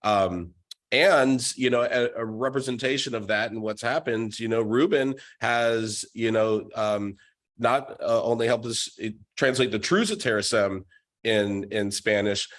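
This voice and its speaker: A man's voice: mid-range voice, a little slow, fairly bright tone. A man hosting an online group meeting, talking loosely with many fillers. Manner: rambling, many "you know" fillers. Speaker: meeting host. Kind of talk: casual group call chat. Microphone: video call.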